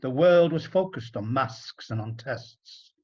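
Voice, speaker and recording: A man narrating a rhyming story in an expressive, dramatic storybook style with deliberate phrasing. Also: medium pitch, breathy edge, dull audio.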